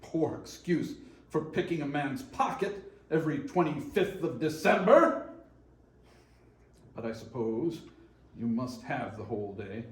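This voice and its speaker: A man's voice: mid-range voice, expressive, a little slow, long pauses, natural voice. A man acting cranky old miser, emphatic, widely varied intonation, stage reading, echoey room. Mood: grumbling. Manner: curt, grumbling, emphatic. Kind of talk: readers theater stage reading. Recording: roomy echo.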